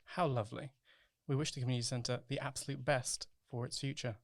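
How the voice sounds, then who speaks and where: A man acting as a composed local radio newsreader, polite and formal in delivery. His mid-pitched voice has a creaky edge and is recorded close and dry. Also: steady tone, brisk, no echo, lightly acted. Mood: composed, upbeat. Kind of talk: scripted local news reading in amateur radio play.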